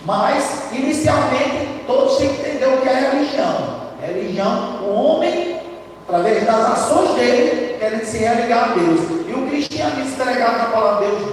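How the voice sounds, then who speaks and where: A hoarse man preaching a sermon in a church, talking loud and conversational, often tagging his sentences with a quick question to the congregation. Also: very high voice, a little hiss or hum. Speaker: lay preacher. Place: church sanctuary. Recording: distant, echoey church hall.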